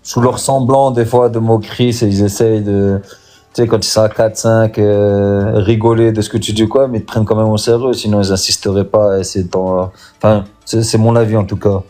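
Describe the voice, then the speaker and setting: A man as a guest on a live online talk, speaking in a calm, supportive and measured way. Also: lowish voice, faint music behind. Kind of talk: casual live chat.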